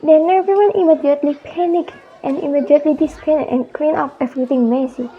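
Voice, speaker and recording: A woman's voice: high voice, steady tone, quick pace, phone-quality sound. A young adult woman with an Indonesian accent reading scripted narration for a radio drama.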